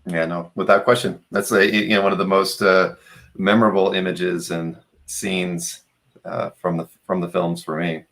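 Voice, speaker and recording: A man's voice: low-pitched, level tone, normal speed, creaky, fairly bright tone. A man hosting a casual live chat, giving brief, agreeable reactions and simple questions. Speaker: host.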